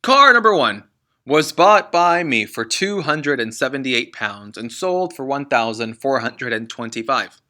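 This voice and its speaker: A man voicing a boastful, crooked car-dealer father from a children's storybook, in a booming, smug and bossy manner. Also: sing-song, a little slow, sharp tone. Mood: bossy, brisk. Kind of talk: children's storybook read-aloud.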